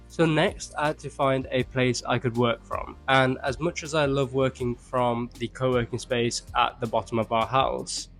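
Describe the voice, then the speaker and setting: A young man with a Birmingham accent, chatting to camera as a vlogger, upbeat and loose, with frequent restarts and asides. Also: medium pitch. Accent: Birmingham English. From England. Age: young adult. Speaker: vlogger and startup founder. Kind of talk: casual vlog talk to camera. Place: outdoors in a mild city.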